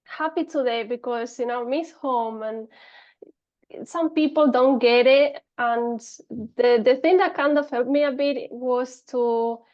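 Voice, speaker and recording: A woman's voice: on the high side, a little slow, muffled recording. A Spanish-accented woman in her thirties, a podcast guest talking casually with many fillers and restarts. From Spain. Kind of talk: casual interview talk.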